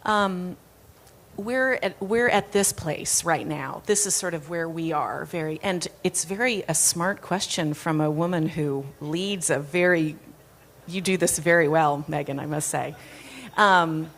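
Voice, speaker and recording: A woman's voice: low-pitched, measured pace, fairly bright tone. A woman answering an audience question on a conference panel, thoughtful and fluent with occasional restarts. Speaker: foundation executive director. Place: conference stage.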